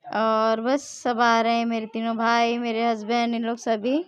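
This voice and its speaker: A woman's voice: on the high side, monotone, a little slow, clear, resonant tone, no room sound, dull audio. A young woman, chatting casually through her vlog and often correcting herself mid-sentence. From India. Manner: chatty, rambling, frequent self-corrections. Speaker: vlogger.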